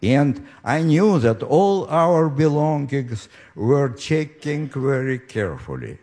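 Halting very old Russian-accented man. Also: mid-range voice, sing-song, mellow. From Ukraine. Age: 90s. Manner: halting, with frequent pauses and restarts. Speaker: storyteller. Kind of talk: live storytelling on stage. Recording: live audience in the room.

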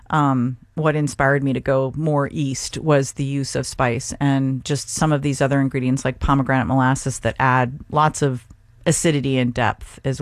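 A middle-aged woman chef from Seattle, talking thoughtfully in an interview with frequent pauses and restarts. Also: extremely low pitch, no echo, clean studio sound. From Seattle, Washington, USA. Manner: thoughtful, hesitant with many restarts. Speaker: chef and guest. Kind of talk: radio interview conversation.

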